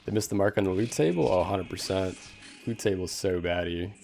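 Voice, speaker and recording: Deep-voiced laid-back streamer man. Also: a little fast, creaky, darkish tone, soft background music. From Canada. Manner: laid-back, many "like" fillers, answers chat questions. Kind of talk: casual gameplay commentary.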